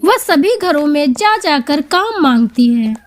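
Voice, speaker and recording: A woman's voice: sits high, very animated, unhurried. A woman narrating a scripted story as its storyteller.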